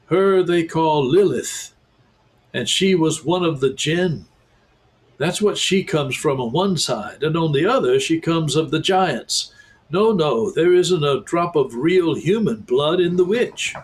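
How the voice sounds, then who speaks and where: A man doing an excitable talking beaver. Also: slow. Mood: eager. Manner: emphatic, booming with excitement. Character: excitable talking male beaver, proud and earnest. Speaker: pastor reading aloud.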